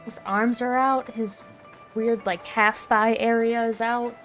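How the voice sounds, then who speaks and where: A woman's voice: a little high, fairly even intonation, slow, fairly bright tone. A woman giving casual live commentary as a game streamer. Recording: faint game music behind voice.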